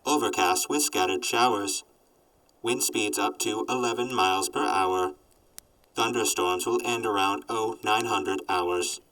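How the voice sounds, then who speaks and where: A man voicing a sardonic robot companion in an audio drama, reporting in a formal, clipped deadpan. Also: lowish voice, measured pace, far from the mic, rough recording. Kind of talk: post-apocalyptic audio drama acting.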